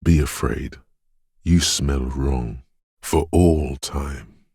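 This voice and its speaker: Game inner voice of intuition: extremely low, heavily rasping, dreamlike and ominous, lingering on poetic phrases. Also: male voice, very slow, a little mellow, no room sound, studio quality, exaggerated voice. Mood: haunted, ominous. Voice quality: husky, gravelly bass. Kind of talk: atmospheric second-person video game narration.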